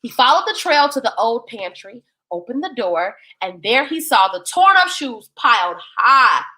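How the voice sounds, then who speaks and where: Female storyteller for kids' bedtime tales. High, bright voice, expressive and folksy, big swings in pitch. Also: measured pace, rough recording. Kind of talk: animated children's bedtime story reading.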